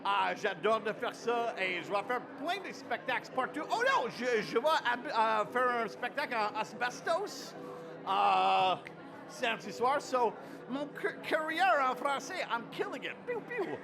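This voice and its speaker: A man's voice: very high voice, sing-song, unhurried, over music. A man whose first language is English, speaking French as a comedian guest. He talks in a boisterous, hyped-up way, drops English phrases into his French and lets out whoops. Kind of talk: hyped-up festival interview.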